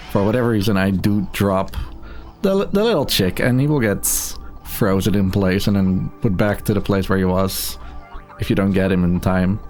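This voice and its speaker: A man's voice: on the low side, a little mellow. A man giving casual live commentary as he speedruns a video game. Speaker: speedrunner commentating own run.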